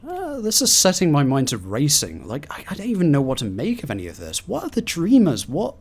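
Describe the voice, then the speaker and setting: A man doing video game commentary and reading in-game documents aloud in a theatrical, expressive way. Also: fairly high pitch, a little fast. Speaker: gaming video host.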